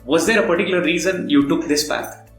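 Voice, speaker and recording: Halting male interviewer, echoey room. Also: on the high side. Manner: halting, thinking aloud, rephrasing at length. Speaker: podcast interviewer. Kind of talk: conversational interview. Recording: roomy echo, faint background music.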